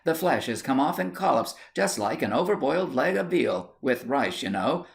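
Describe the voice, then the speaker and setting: A man's voice: mid-range voice, sing-song, moderate pace, creaky, pitched above the performer's own voice. A man voicing a gossipy old aunt in a storybook tale, homely, rambling and dramatic. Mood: gossipy, grave.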